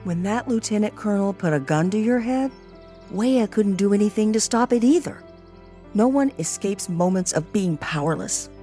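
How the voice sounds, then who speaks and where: A woman playing a gentle, sincere young woman grieving a lost love, speaking tender, earnest dialogue in an audiobook. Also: medium pitch, lively intonation, normal speed, close to an everyday voice. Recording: music under the voice. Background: music.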